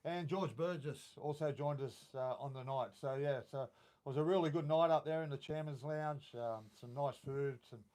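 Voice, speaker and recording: An Australian man co-hosting a live sports podcast, with a croaky voice, talking passionately with lots of fillers. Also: a little high, moderate pace, darkish tone. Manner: passionate, rambling with many fillers. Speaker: podcast co-host. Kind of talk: casual sports chat.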